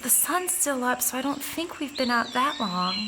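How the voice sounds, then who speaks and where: Woman voicing a teen girl, high voice, anxious and questioning, outdoor birdsong behind, audio drama. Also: expressive, normal speed, background noise. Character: teenage girl, level-headed and curious.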